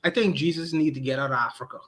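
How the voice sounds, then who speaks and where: A man on a podcast livestream speaks with a slightly raised pitch, pressing his opinions hard. He circles back to the same point again and again and restarts his sentences as he thinks aloud. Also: fast, a bit bright. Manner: emphatic, repeats his points, frequent restarts.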